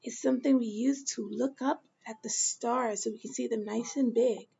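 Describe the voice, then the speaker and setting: A woman teacher reading a picture book aloud to children, gentle and slow, with questions to her listeners. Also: on the high side, fairly even intonation, creaky, husky, highs cut off.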